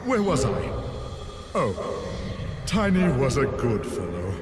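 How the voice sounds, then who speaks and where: Theatrical, swooping mad scientist villain. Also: male voice, mid-range voice, big pitch swings, takes it slowly. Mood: whiny scheming. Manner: theatrical, whiny, exaggerated. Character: hammy, petulant male mad scientist villain, scatterbrained. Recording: loud music behind.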